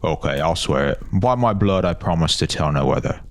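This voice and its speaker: A man voicing a restless, excitable boy in a fantasy audio story, with an informal, colloquial way of talking. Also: very deep voice, fairly quick. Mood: reluctant. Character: restless, excitable, folksy boy eager for adventure.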